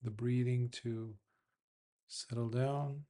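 A man, a Buddhist monk, leads a guided meditation in an unhurried, measured way, leaving long pauses between short phrases. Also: medium pitch, fairly even intonation, mellow.

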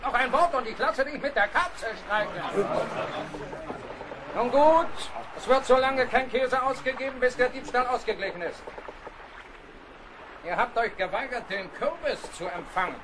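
A furious, tyrannical ship captain in a radio play. His voice sits very high and barks out menacing commands. Shipboard noise runs clearly behind him. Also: moderate pace, long pauses. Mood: furious, threatening. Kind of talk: German radio play acting.

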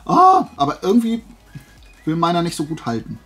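A man's voice: fairly high pitch, huge pitch swings, normal speed, a bit bright. A man chatting casually to viewers like a vlogger, in a rambling, digressive and self-deprecating way. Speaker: YouTube vlogger showing flea market and fair finds.

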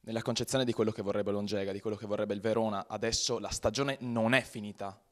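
A man with an Italian accent interviewing players on a handheld mic, pausing on fillers while he translates. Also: on the low side, steady tone. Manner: halting, filler-heavy when translating. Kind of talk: post-match interview, switching to translating. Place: press backdrop after a match.

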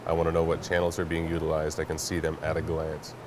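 A man's voice: rumbling low, monotone, fast talker. A man in his thirties explaining a product in an interview, matter-of-fact and a little hesitant. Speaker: network product company representative, guest. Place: large indoor warehouse staging space. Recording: clear background noise of a warehouse.

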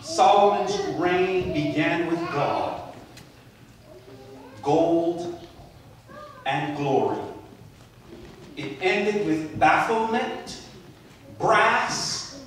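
A man in his forties from Barbados, preaching a sermon with a handheld mic, moving from soft storytelling asides to loud emphatic peaks. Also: a little high, very animated, very slow, long pauses, recorded from a distance. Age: 40s. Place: church sanctuary. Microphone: handheld mic, far camera. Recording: echoey church, captured from afar.

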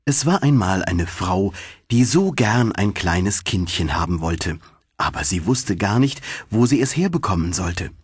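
A man narrating a fairy tale as a scripted, expressive audiobook reading. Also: low voice, unhurried, husky, no room sound, studio quality.

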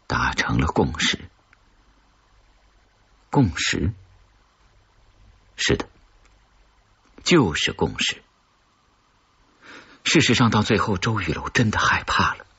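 A man with a husky voice reading a scripted suspense story as an audiobook narrator. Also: deep voice, pushed and bright, lo-fi sound.

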